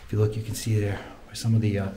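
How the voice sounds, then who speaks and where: A man's voice: lowish voice, completely flat delivery, rapid-fire. A man hosting a casual exploring vlog, talking to viewers as he films on a handheld phone inside an abandoned building. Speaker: host of an urban exploring video. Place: inside an abandoned mausoleum at night. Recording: noisy handheld phone recording.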